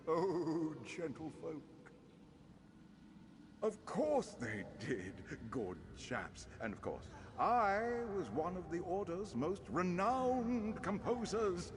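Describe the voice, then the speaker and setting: An actor playing the boastful, foppish ghost of a knightly bard in a fantasy video game, speaking in flowery archaic phrases. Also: male voice, high-pitched, big pitch swings, takes it slowly, noisy background, full character voice. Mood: boastful, grand. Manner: theatrical, flowery, archaic, lamenting. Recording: music behind the voice.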